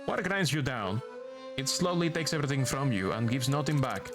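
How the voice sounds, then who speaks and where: This is a gruff archaeologist from a video game, read aloud by a Venezuelan man. He has a Maracaibo accent from a native Spanish speaker. The voice sits at a middle pitch, and game music plays clearly behind it. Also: animated, normal speed, close to an everyday voice. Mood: musing. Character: gruff archaeologist who studies ancient weapons. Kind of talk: reading game dialogue aloud.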